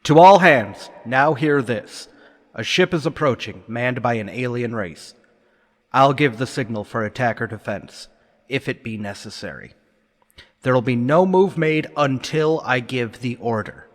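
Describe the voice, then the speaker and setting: Give the part to a stern starship captain from a golden age sci-fi radio play. He is wary and commanding, with clipped delivery and a medium-pitched voice. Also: animated, a little slow, no echo, clean studio sound. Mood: urgent command. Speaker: actor.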